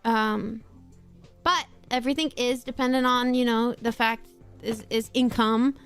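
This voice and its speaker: High-voiced Australian woman chatting on stream. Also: steady tone, unhurried, a bit bright, smooth, soft background music. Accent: mostly North American-sounding, slight Australian in some words. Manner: chatty, laughs often, swears casually. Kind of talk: casual stream chat, reading chat aloud.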